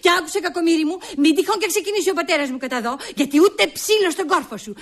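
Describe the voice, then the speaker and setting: An actress playing a feisty, suspicious young fiancée in a comedy, scolding and sarcastic, with a mocking edge. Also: female voice, very high voice, lively intonation. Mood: bossy. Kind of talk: comedy acting.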